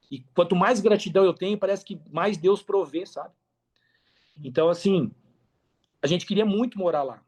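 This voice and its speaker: Brazilian male podcast guest, high voice, casual and emphatic delivery. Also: darkish tone. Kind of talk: casual interview talk.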